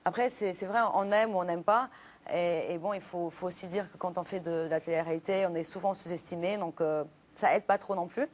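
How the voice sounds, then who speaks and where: A woman's voice: on the low side, fairly even intonation, fairly quick, darkish tone. Young woman with a Portuguese accent. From Luxembourg. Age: 20s. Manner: hesitant, many fillers and restarts. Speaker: guest. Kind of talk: casual interview chat.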